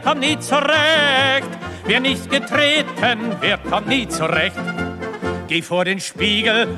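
A singer performing a satirical cabaret chanson in verse, with musical accompaniment. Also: medium pitch. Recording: music behind the voice.

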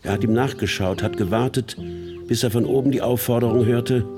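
A man narrating a literary radio play in a measured storytelling manner. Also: medium pitch, steady tone, lightly acted. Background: loud plucked guitar music.

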